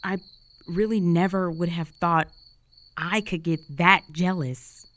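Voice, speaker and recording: A woman's voice: fairly low pitch, steady tone, slow, fairly bright tone. A young woman playing a jealous, flustered roommate with a secret crush in an intimate romantic audio roleplay, speaking in a hesitant, conversational way. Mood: dejected, resigned. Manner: conversational, hesitant, sighing, emotionally exposed.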